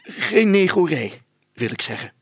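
Pompous fat village mayor, congested, stammering, gruff, raised pitch, husky, storybook character. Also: male voice, very animated, measured pace, no echo, full character voice. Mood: indignant. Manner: gruff, stammering, self-important. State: congested with hay fever. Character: short fat pompous village mayor, suspicious, officious man.

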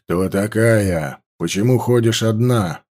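A man voicing a grim warrior captor who interrogates slowly in short, clipped threats. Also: lowish voice, darkish tone. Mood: menacing. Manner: slow clipped hissing threats. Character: grim male warrior captor, cold, menacing.

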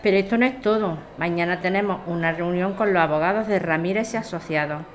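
A woman's voice: fairly low pitch, fast, slight background noise, close to an everyday voice. Eager, insistent woman in a radio drama, acted. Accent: Spanish. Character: concerned, eager amateur-sleuth woman named Puri, friendly and insistent. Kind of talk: radio soap opera dialogue.

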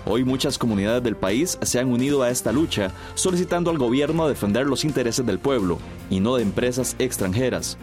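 An actor playing a serious radio news announcer reading a scripted bulletin in a radio drama. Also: male voice, mid-range voice, lively intonation, fairly quick, a little mellow, soft background music, lightly acted. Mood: serious, informative.

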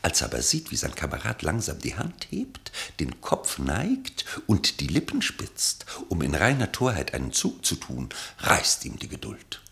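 An actor playing the narrator of a radio play, telling the story in a literary, vividly descriptive, wry manner. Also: male voice, a bass voice, huge pitch swings, moderate pace, vocal fry, few pauses, a bit bright, heavily acted. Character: male storyteller of a wry, literary war escape tale.

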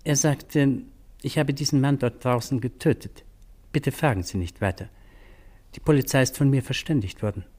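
An actor playing a talkative, worldly doctor with dry wit and a gossipy streak in a German radio crime drama. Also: male voice, medium pitch, moderate pace, darkish tone, close to an everyday voice. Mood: informative. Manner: talkative, wry, slightly gossipy.

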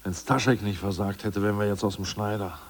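An actor playing an anxious, complaining ousted party official in a radio drama. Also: male voice, lowish voice, fairly even intonation, brisk. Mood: fretful. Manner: fretful, plaintive, complaining. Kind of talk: 1980s radio drama acting.

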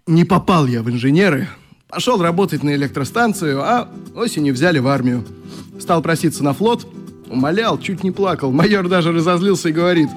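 An actor playing a friendly young youth leader in a radio drama, telling stories in a chatty, expressive way with a wistful streak. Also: male voice, a little high, fairly quick, faint music behind. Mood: fond, amused. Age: young adult. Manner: chatty, expressive, acts out remembered exchanges. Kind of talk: radio drama, reminiscing dialogue.

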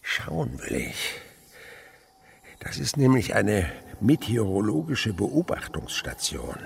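An actor playing an older naturalist uncle in a radio play, eager and tender, explaining with hushed wonder. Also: male voice, lowish voice, huge pitch swings, slow, gravelly, husky, exaggerated voice. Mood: hushed, absorbed. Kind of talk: German radio play acting.

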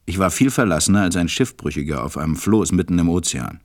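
An actor playing a grown pilot who wistfully recalls his life as a storyteller in a radio play, measured and reflective. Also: sits low, animated. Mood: wistful, wondering.

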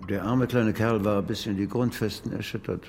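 An actor playing a proud, indignant older gentleman spiritualist in a radio drama, pompous and condescending. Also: on the low side, steady tone, normal speed, long reverb tail, over music, close to an everyday voice. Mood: patronizing. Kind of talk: German radio drama acting.

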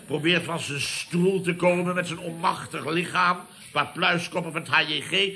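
A self-important, blustering boss in an old Dutch radio comedy, with a Netherlands accent. His voice is forceful, very sharp and somewhat high, and he hammers pompous phrases over and over. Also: normal speed, creaky, heavily acted. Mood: smugly triumphant. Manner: blustering, repetitive, pompous catchphrases. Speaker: company boss and club chairman. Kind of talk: 1970s radio comedy acting.

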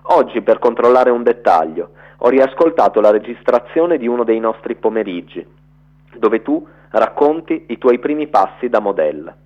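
A man giving a theatrical, storytelling reading of a literary letter for radio, in an expressive narrator's delivery. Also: fairly low pitch, highs cut off.

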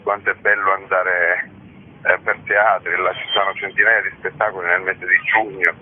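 Husky, low-voiced man in interview. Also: little pitch movement, normal speed. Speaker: festival founder and organiser, interview guest. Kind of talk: conversational radio interview. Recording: muffled, lo-fi radio interview audio.

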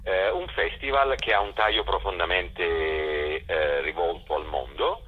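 A man giving an unscripted radio interview, presenting a programme in long enumerating explanations with hesitant pauses. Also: sits low. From Italy. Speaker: festival director being interviewed. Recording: muffled, band-limited phone line.

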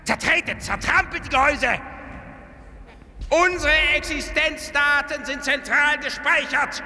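An actor playing a fervent rebel musician-leader who rallies devotees with impassioned oratory in a science-fiction radio drama. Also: male voice, extremely high pitch, measured pace, heavily acted. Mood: fiery, commanding. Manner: impassioned oratory, swinging from hushed to shouted. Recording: reverberant hall, loud background noise.

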